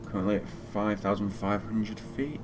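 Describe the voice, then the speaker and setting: Deep-voiced man streaming over background noise. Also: moderate pace. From Fiji. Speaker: flight simulator live streamer. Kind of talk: casual live gaming commentary.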